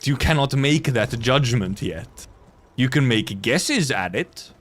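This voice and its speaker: A man's voice: mid-range voice, expressive, slow, fairly bright tone. A man livestreaming a game, chatting casually in a rambling, blunt, sweary way. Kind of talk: casual gaming stream chat.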